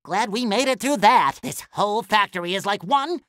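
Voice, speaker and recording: A man voicing a naive, cheerful young sea sponge hero for a cartoon video game, with a high, squeaky, nasal voice and exuberant, melodramatic exclamations. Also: lively intonation, normal speed, fairly bright tone, no room sound, clean studio sound. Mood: relieved.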